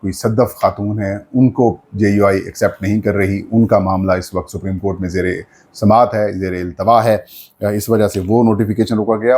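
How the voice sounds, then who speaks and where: Low-pitched Pakistani man giving political commentary. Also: a little mellow. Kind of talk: unscripted political news commentary.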